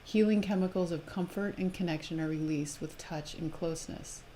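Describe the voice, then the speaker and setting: Female live-stream host with a low register, delivering spiritual readings and casual talk over slight noise. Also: normal speed, warm tone, low-quality recording. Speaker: host of a live spiritual oracle card presentation.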